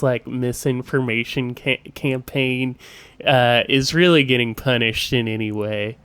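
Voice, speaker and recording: A man hosting a podcast in casual conversation, with a smooth voice and a halting way of talking full of asides. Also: mid-range voice, a bit bright, no echo. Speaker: podcast host.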